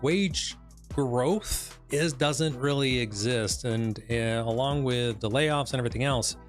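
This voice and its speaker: A man from the United States, a business coach, talking through a wage report live in a hoarse voice that he is losing, rambling with fillers and restarts. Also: mid-range voice. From West Michigan, United States.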